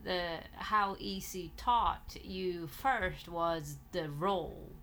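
Slow, soft-spoken woman. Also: fairly low pitch, a little hiss or hum. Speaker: podcast co-host. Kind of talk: casual chat.

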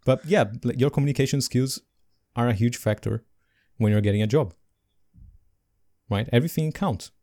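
A man with English as a second language, co-hosting a podcast in casual chat, animated and laughing between points. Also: medium pitch, moderate pace. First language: not English. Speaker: podcast co-host.

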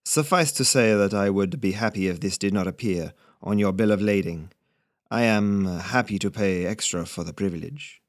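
A man's voice: on the low side, dry recording, clean studio sound, lightly acted. A man voicing a mysterious robed merchant who speaks formally, with an oddly lyrical lilt. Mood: guarded. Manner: formal, measured, secretive.

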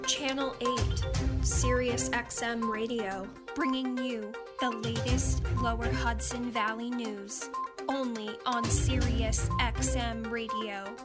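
A woman voicing a self-important radio news announcer with booming, punchy, clipped headlines. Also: steady tone, takes it slowly, loud music behind the voice. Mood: dramatic. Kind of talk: dramatic news station promo.

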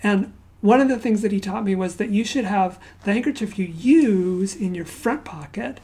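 A woman raised in Zambia giving a sermon. She opens with a quiet prayer and then tells stories conversationally, often dropping to a hushed near-whisper. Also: medium pitch, normal speed. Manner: conversational storytelling with fillers and asides. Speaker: pastor.

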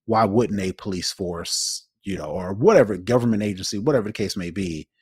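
A man's voice: fairly low pitch, fairly quick, a little mellow, breathy edge, no room sound, clean studio sound. A man co-hosting a podcast with conversational commentary, often circling back to rephrase as he goes. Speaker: podcast co-host.

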